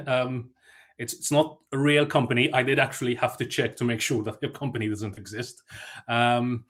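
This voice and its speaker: A man from Malta gives a conference talk in a chatty, self-deprecating way. He stumbles through fillers and restarts as he tells a story. His voice sits at a middling pitch. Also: steady tone, moderate pace, a bit bright. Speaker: conference speaker.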